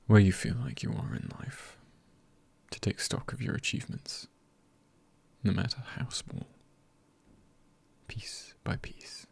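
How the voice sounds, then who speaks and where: A man with a low voice delivers soft-spoken ASMR encouragement. His tone is heavily creaky and husky, with plenty of breath in it. He speaks in a hush that often slips into a whisper, leaving deliberate pauses between phrases. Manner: hushed, often dropping into a whisper. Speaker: ASMR creator.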